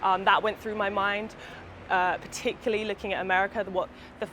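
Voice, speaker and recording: A woman's voice: mid-range voice, flat delivery, fairly quick, fairly bright tone, slight background noise. A woman who took part in a protest, speaking thoughtfully and a little hesitantly in an interview. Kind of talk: reflective interview.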